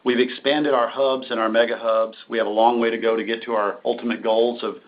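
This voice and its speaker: A man's voice: mid-range voice, flat delivery, a little fast. A man speaking as a company executive, answering analysts' questions on a conference call. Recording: muffled, noisy conference-call line with clicks.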